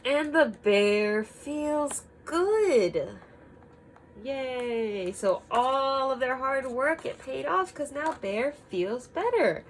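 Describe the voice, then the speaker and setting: A woman reading a picture book aloud to children, expressive and emphatic, pausing to ask simple guiding questions. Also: high-pitched, slight background noise.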